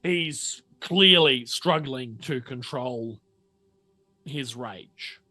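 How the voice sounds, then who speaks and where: Slow, bright-voiced man running a game. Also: medium pitch, no echo. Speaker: game master. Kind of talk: improvised narration of a tabletop roleplaying game.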